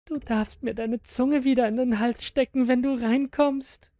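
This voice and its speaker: A man's voice: very high-pitched, steady tone, measured pace, mellow, no echo, studio quality, voice pushed up. A man voicing a monster that mimics a young girl, pleading and luring.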